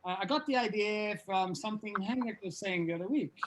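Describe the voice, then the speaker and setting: A woman's voice: mid-range voice, fairly quick, slight background noise, close to an everyday voice. A woman playing a breezy, self-assured bandleader in an amateur script read-through. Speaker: table read participant.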